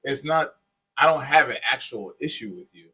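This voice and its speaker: A man's voice: medium pitch, lively intonation, normal speed, pushed and bright, husky. A man talking casually and earnestly as a podcast co-host, with informal, buddy-like phrasing. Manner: informal, peppered with "man" and "dude".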